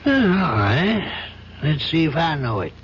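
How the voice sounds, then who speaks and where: An actor playing an older, compassionate judge in an old-time radio drama, reasoning in a measured, probing, paternal manner. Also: male voice, a little high, sing-song. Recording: dull, faintly noisy old radio recording.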